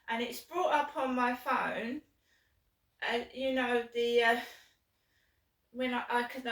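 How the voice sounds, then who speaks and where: A woman with a sore throat vlogging alone from her flat, rambling and aggrieved, with many ums. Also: fairly high pitch. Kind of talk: casual chat to camera. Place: small flat, indoors. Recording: echoey room, mic at a distance.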